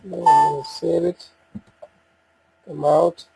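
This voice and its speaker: A man giving an unscripted software walkthrough, talking through each step in a halting way as he thinks aloud. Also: a little high, level tone, vocal fry, husky, poor audio. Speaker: software demo presenter.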